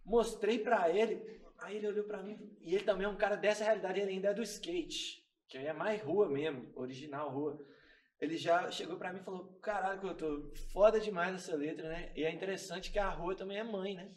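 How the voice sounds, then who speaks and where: A man's voice: high voice, brisk, far from the mic. A man from Brazil, a band member, telling stories in a laid-back, slangy way in a casual podcast interview. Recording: echoey room, off-mic.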